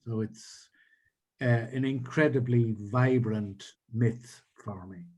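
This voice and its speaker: Older man, irish accent, poet guest, measured and halting, video call from home. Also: mid-range voice, darkish tone. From Ireland. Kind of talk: conversational interview. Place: home in Ireland.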